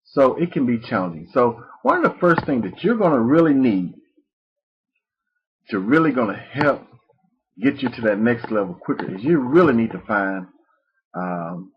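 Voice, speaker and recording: Husky lo-fi webinar presenter man. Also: mid-range voice, sing-song, normal speed. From Mississippi. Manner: rambling, many fillers and restarts, folksy. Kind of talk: live webinar teaching. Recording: muffled lo-fi webinar audio.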